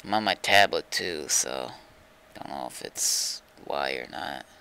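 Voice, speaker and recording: A man with a deep, gravelly voice that comes through clearly. He talks casually on a game livestream. He tends to ramble and think out loud. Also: animated, a little slow. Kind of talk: casual livestream chat while gaming.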